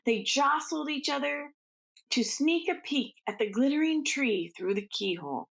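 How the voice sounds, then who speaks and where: A woman reading a storybook aloud to children as a teacher, with expressive, deliberate phrasing and informal asides. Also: high-pitched, creaky.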